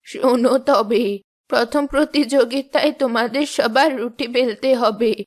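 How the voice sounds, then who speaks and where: A woman's voice: a little high, measured pace, close to an everyday voice. A woman playing a commanding, firm mother-in-law who runs her household in a fairy-tale cartoon. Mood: instructing. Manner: bossy, declarative, firm.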